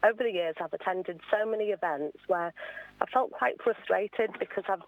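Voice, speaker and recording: A woman's voice: medium pitch, normal speed, narrow, tinny audio. A woman being interviewed on radio as an awards founder, explaining things earnestly in a conversational way.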